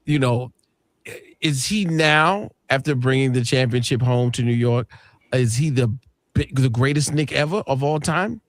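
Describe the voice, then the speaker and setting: A man's voice: medium pitch, a little slow, no room sound. A man hosting a sports radio discussion, casually putting questions to his panel.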